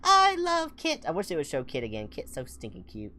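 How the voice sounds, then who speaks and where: A game streamer reading visual novel narration aloud with expressive, playful asides. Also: mid-range voice, fairly quick, slight background noise. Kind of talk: playthrough commentary and read-aloud narration.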